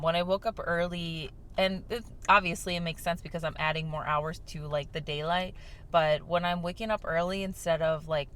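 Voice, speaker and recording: Soft-spoken young woman, a bit hoarse. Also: low voice. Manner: talking softly on purpose, filler-heavy and rambling. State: slightly hoarse from shouting the day before. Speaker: vlog host. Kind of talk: casual chat while sipping a drink. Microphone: mic without windscreen.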